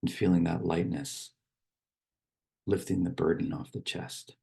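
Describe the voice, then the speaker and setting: Very deep, gravelly male meditation guide. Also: flat delivery, dark tone. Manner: slow, unhurried, pausing between short phrases. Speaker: meditation teacher. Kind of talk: guided meditation, poem reading.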